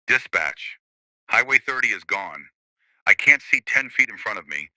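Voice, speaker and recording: A man's voice: fairly low pitch, animated, moderate pace, very noisy. An actor playing an alarmed man radioing dispatch, urgent and terse.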